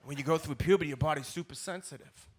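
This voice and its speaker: A man's voice: a little high, fast talker. A middle-aged man with a Boston accent doing blunt, colloquial stand-up storytelling into a stage mic. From South Boston, United States. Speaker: stand-up comedian. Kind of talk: stand-up comedy storytelling to a live audience. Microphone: stage microphone at a live show. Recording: live stage recording with audible background noise.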